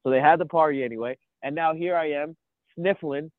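A man with a cold cohosts a podcast over the phone from home, his voice thin and tinny, with a somewhat high pitch and a dry sound. He rambles excitedly, restarting his sentences. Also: a little fast, no echo. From United States. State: sniffly with a cold. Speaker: podcast co-host. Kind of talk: casual podcast chat. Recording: thin phone-line audio.

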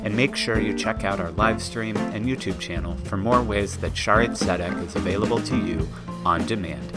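A man's voice: on the low side, moderate pace. A man reads a scripted podcast introduction in a welcoming, reassuring manner. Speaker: podcast announcer for a synagogue. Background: loud plucked guitar music.

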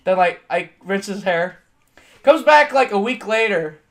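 A man's voice: high voice, lively intonation, unhurried, a bit bright. A young man with a Southern accent, casually telling stories as a podcast co-host, swearing, laughing and acting out his customers. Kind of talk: casual storytelling chat.

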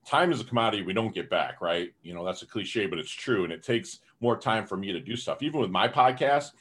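An energetic man with a somewhat low voice, talking as a guest on a podcast. He speaks in a casual, fired-up way, leaning on "you know" as he goes. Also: a little fast, slightly rough. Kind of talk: casual interview talk.

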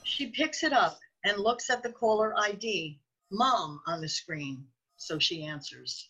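A woman's voice: medium pitch, a little slow, sharp tone, slight background noise, poor audio. A woman narrating a scripted radio drama.

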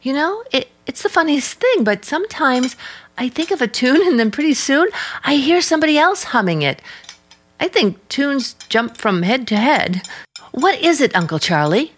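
A woman's voice: high-pitched, big pitch swings, normal speed. A woman playing an earnest, curious young niece in a radio drama, speaking insistently. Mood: puzzled, insistent. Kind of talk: mid-century American radio drama acting.

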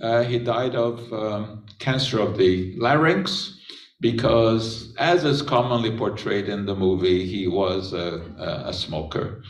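A man giving an unscripted science talk, unhurried, with frequent pauses and filler words. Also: fairly low pitch. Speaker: chemistry lecturer. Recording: echoey room, voice from a distance.

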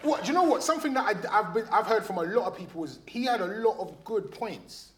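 A man hosting a podcast live on stage, chatty and laughing, with loud bursts to the audience. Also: sits high, expressive, fast, background noise. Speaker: podcast host.

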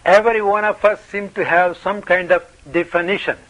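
A man giving a spiritual talk in short, playful phrases, pausing for an interpreter. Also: high voice, fairly even intonation, fairly bright tone, lo-fi sound. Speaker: spiritual teacher.

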